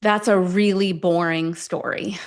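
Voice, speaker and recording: A woman leading a guided affirmation meditation, speaking deliberately in short phrases with long pauses between them. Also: on the low side, steady tone. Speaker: guide of a self-affirmation tapping session.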